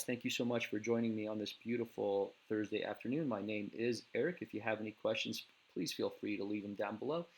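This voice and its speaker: A man hosting a casual stock market recap, talking in a relaxed way with many fillers and restarts, and losing his voice. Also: on the low side, gravelly, husky, low-quality recording.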